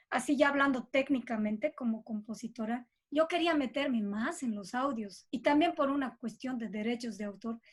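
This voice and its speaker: A middle-aged Bolivian woman with a Bolivian accent talks reflectively and informally as an interviewed composer, thinking aloud in an expressive way. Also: sits high.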